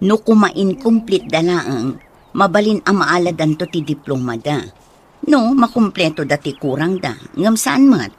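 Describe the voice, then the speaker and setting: A woman playing a concerned, fair-minded school teacher in a radio drama, with a husky voice. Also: lowish voice, very animated, unhurried, heavily acted.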